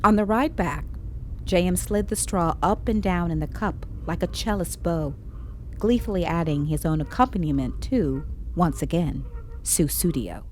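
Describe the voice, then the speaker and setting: An actress playing a tense, caring police investigator, narrating a grim story in measured, literary first person. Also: female voice, lowish voice, lively intonation, darkish tone, a little hiss or hum, close to an everyday voice, low-quality recording. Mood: measured, uneasy. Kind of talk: first-person scripted audio drama narration.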